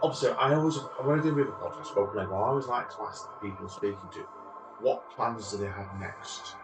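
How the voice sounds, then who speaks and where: A man's voice: lowish voice, fast talker, music in the background. A middle-aged man hosting a casual interview in a hesitant, rambling way. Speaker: podcast host. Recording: echoey, distant microphone, noisy room ambience.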